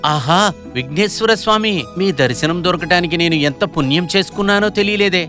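A man voicing excitable village men in a dubbed animated moral story. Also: high voice, brisk, soft background music. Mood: amazed.

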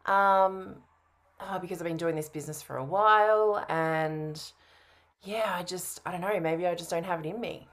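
A woman's voice: on the low side, normal speed. A woman in her thirties on a video call, answering a coach's questions in a casual, hesitant way. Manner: hesitant, informal. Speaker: coaching client in a roleplay. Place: indoor room at home, on a video call.

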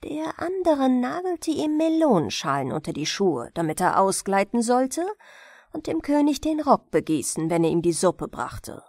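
A woman narrating a bedtime fairy tale as an audiobook storyteller, expressive and unhurried, close to the microphone. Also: a little high, dry recording.

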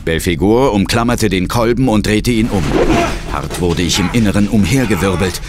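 A man's voice: on the low side, normal speed, very noisy. A man giving dramatic first-person scripted narration in a horror audio drama.

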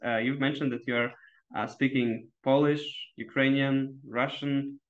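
Flat-voiced young male interviewer. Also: mid-range voice, a little slow. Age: young adult. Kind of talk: interview questions. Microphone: video call webcam. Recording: dull lo-fi webcam audio.

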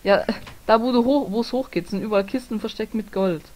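A woman's voice: medium pitch, fairly quick, a little mellow. A young woman chatting casually while playing a game with friends, teasing them, laughing often and swearing lightly. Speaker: Let's Play gamer. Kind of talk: casual gaming chat.

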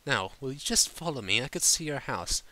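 A man's voice: mid-range voice, lively intonation, fairly quick, sharp tone, pitched above the performer's own voice, rough recording. A man voicing a refined, tactful female pony in a storybook, speaking in a polite, proper, slightly flustered way. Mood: prim, indignant. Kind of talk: fan fiction audiobook character voice.

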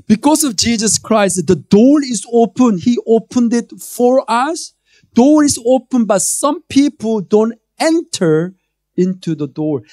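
Korean-accented male pastor in his 30s, mellow dry voice, chatty then emphatic sermon on a handheld mic. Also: sits high, no room sound. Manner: joking, conversational preaching, rising to emphatic calls. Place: church stage before a screen.

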